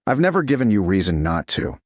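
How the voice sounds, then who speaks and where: A commanding, intense duke from a medieval romance, voiced by a male narrator. He speaks tersely and with control in a low, husky voice, recorded close and dry. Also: sing-song, fast talker, no room sound. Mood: steady.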